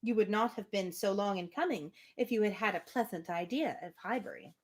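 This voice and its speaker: A woman's voice: medium pitch, a little fast, lightly acted. A woman reading the part of a gracious, playfully teasing young lady from a novel, in polite, witty, formal speech.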